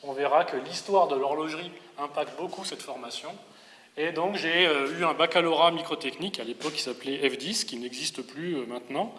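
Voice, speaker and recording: In a reverberant hall, a man in his forties with a metropolitan French accent delivers a public lecture. His voice sits a little high for a man. He explains like a professor, hesitantly rewording as he goes. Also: fairly quick, a bit bright, slight background noise. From Paris region, France. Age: 40s. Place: large reverberant lecture venue. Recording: echoey hall with long reverb.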